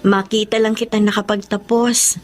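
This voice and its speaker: An actress playing a devoted young eldest sister with dreams, in an expressive, drawn-out radio drama style. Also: female voice, mid-range voice, noisy background, natural voice. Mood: tender, apologetic. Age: young adult. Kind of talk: emotional Tagalog radio drama acting.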